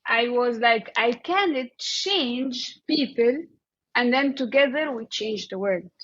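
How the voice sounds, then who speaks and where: A woman's voice: fairly high pitch, animated, fairly bright tone, slightly rough. Interview guest: woman with an Egyptian accent, conversational and searching for words, recorded muffled and a bit roomy. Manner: halting, repeats "like" as she thinks aloud.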